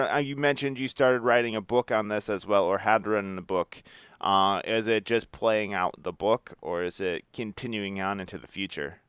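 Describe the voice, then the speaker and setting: A man hosting a casual interview, thinking aloud in a halting, conversational way. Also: medium pitch, a bit bright, clear, resonant tone, no room sound, clean studio sound. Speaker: podcast host, interviewer.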